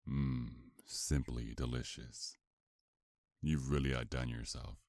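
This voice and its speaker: A man playing a sinister, condescending vampire mafia boss in an ASMR roleplay, speaking in a formal, menacing, drawn-out way. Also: a bass voice, fairly even intonation, a little mellow, no room sound. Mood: smug, pleased.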